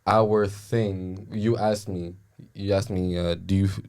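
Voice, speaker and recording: A young man born in France talks in a very deep voice. He is reflective and hesitant in a casual podcast chat and fills his pauses with uhs. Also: level tone, a little mellow. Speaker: podcast co-host. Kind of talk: casual conversation.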